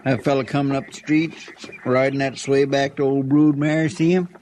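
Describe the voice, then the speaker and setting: An actor playing a poor, good-natured marshal's helper in an old-time radio western, folksy and plaintive, with a rural American accent. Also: male voice, mid-range voice, flat delivery, normal speed, a little mellow, slight background noise, natural voice.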